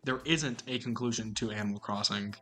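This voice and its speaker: A man's voice: fairly low pitch, brisk, over music. A man co-hosting a casual gaming podcast, pushing back in debate and wrapping up the episode. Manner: argumentative, wraps up the episode. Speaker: podcast co-host.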